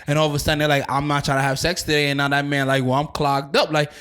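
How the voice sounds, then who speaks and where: New guy hosting a podcast, kinda high voice, blunt slangy chat, loops back on his points. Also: little pitch movement, fast talker, a bit bright, clear, resonant tone. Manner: blunt, slangy, repeats himself. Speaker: podcast host. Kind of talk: casual chat.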